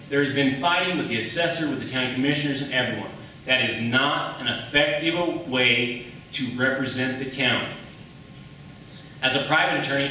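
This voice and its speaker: A man with a sharp, medium-pitched voice speaks at a political debate in a heavily echoing room, some way from the mic. He is a candidate from Wyoming and sets out his points deliberately, pausing for emphasis. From Wyoming, United States. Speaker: political candidate, private attorney. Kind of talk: campaign debate speech. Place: public debate in an echoey indoor room, mic at a distance. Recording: echoey room, distant mic, faint noise.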